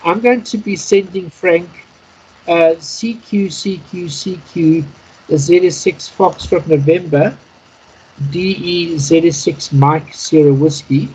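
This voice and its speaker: A man's voice: fairly high pitch, slow pace, darkish tone, slight background noise, rough recording. A man giving informal, methodical instruction in an online radio practice class. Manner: methodical, explanatory, spelling in phonetic alphabet. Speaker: Morse code instructor. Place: online video call.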